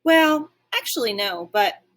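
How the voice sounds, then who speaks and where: A woman's voice: on the high side, a little slow, voice pushed up. A woman voicing a small, eager young male dog in a storybook, chatty, friendly and a bit boastful. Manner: excitable, chatty.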